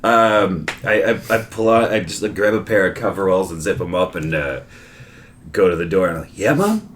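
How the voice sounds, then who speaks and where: A man's voice: sits low, fairly even intonation, moderate pace, a bit bright, a little hiss or hum. A man playing a tabletop game, talking in casual, joking table chat.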